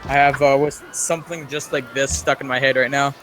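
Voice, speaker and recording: A man's voice: mid-range voice, steady tone, fairly quick, a bit bright, faint music behind. A man with a scratchy voice chatting casually and rambling as he hosts a video game let's play on a basic home microphone. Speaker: gaming let's-play host. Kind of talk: casual rambling gameplay chat. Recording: noisy home microphone recording.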